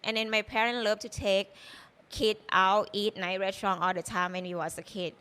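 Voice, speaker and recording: A woman's voice: mid-range voice, fairly even intonation, normal speed, bright, forceful tone, clear, resonant tone. A woman in her thirties from Thailand, with a Thai accent and Thai as her first language, chatting loosely as a chef guest in an interview. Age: 30s. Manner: chatty and loose, full of "you know" and "like". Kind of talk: casual interview.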